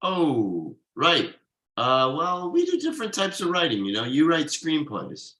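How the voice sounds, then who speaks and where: An evasive, defensive husband and father, acted by a man at a table read. His delivery is conversational and halting. The voice is forward and bright, with very lively pitch movement. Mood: awkward, evasive. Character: defensive, evasive middle-class husband and father writing ad copy. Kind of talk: table read of a TV pilot script.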